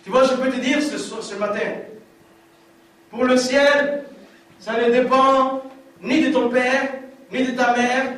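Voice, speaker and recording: A high-pitched man preaches his testimony in an emphatic way and hammers home lines by repeating them. He is caught from a distance in a very echoey hall, with a faint room hum behind him. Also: little pitch movement, slow, pauses often, a bit bright. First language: African language. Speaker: testimony speaker.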